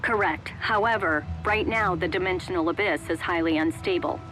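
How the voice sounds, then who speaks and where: Woman acting a lowish, businesslike company agent. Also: normal speed, a bit bright, faint music behind, lightly acted, poor audio. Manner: clipped, official. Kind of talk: video game voice acting.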